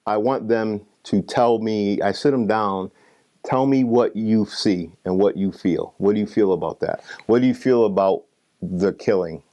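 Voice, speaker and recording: A man's voice: on the low side, unhurried, warm tone. A man talking reflectively in an interview, earnest, repeating himself for emphasis.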